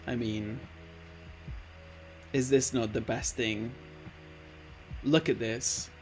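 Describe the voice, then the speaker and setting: A man's voice: mid-range voice, steady tone, takes it slowly, darkish tone, faint music behind, poor audio. A man in his late twenties chatting casually on a live stream, rambling with lots of fillers and easily delighted. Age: late 20s. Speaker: art streamer.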